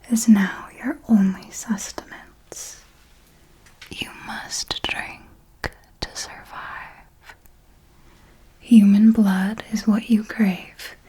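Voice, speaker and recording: A woman playing a possessive, commanding vampire mentor in a whispered ASMR roleplay, speaking in a breathy, deliberate, coaxing way. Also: medium pitch, dark tone, close to an everyday voice, poor audio. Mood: instructive, seductive. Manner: slow, deliberate, coaxing and instructive.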